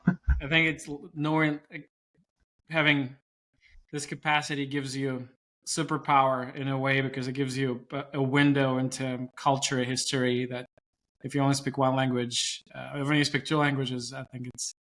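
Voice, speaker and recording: A man's voice: mid-range voice, flat delivery, moderate pace, a bit bright. A man hosting a casual interview, asking questions and affirming his guest's answers. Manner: encouraging, frequent affirming backchannels. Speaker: interviewer, host.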